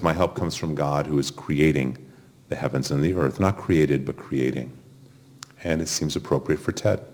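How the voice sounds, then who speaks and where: Male rabbi, extremely deep register, delivering a funeral address in short, deliberate phrases.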